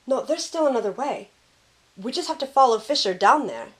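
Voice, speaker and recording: A woman's voice: sits high, expressive, fairly quick, low-quality recording. A woman playing a determined, reassuring young team member in a fan audio drama. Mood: urgent, determined.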